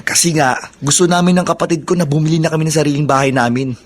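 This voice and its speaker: A man playing an irritable, stubborn young son in a Filipino audio drama, with a husky voice. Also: a little high, fast talker, lightly acted. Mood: annoyed. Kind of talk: Filipino radio-style drama acting.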